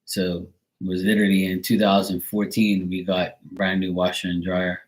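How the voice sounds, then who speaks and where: Man, from Bermuda, casual live stream chat, rambling, lots of fillers, slightly deep. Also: completely flat delivery, normal speed, poor audio. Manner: rambling, chatty storytelling with frequent fillers.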